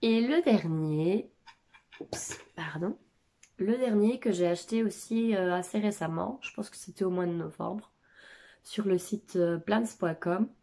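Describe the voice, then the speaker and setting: A woman in her thirties with a cold and a nasal voice, chatting casually about her houseplants at home, pausing often with filler sounds. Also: medium pitch, dark tone. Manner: hesitant, many filler sounds. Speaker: plant vlogger. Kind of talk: casual chat. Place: home living room.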